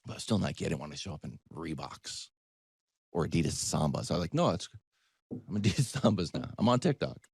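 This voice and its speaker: An American man giving conversational sports talk as a commentator, animated, digressive and self-deprecating as he tells stories. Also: rumbling low, a little fast, gravelly, breathy edge, no room sound, clean studio sound.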